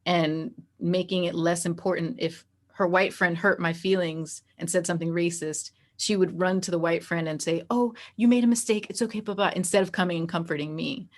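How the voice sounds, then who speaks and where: A woman with a somewhat low-pitched voice talks as a guest in a conversational interview. She sounds reflective and informal, speaking candidly in everyday language. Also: steady tone, a little fast, few pauses.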